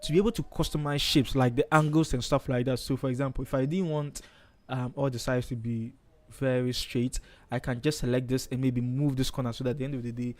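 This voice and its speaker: Ghanaian guy streaming his design work, talks thru it casually, middle pitch, keyboard clacking behind. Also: steady tone, a little fast, darkish tone. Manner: chatty, thinks aloud, frequent okays. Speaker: livestream host, designer. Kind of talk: casual live design tutorial.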